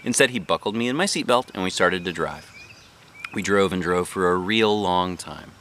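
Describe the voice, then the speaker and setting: A man reads a children's story aloud in a low, bright voice. His narration is animated and swings widely in pitch, as a dad telling a tale would, with some background noise. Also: normal speed. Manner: animated, puts on character voices. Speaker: dad reading a book aloud.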